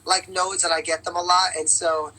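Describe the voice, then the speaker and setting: Woman, raspy singer, bright tone, rambling fillers, patchy live stream. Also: fairly low pitch, little pitch movement, normal speed, rough recording. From New York. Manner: upbeat, rambling with many fillers. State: strained voice on vocal rest. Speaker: singer and entertainer, guest. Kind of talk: casual interview on a live call. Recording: bad connection on a live stream.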